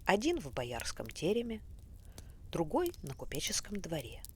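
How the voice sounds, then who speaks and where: A woman narrating a bedtime fairy tale in a soft, often whispery, unhurried voice. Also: fairly low pitch, huge pitch swings. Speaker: storyteller. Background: faint fireplace crackle.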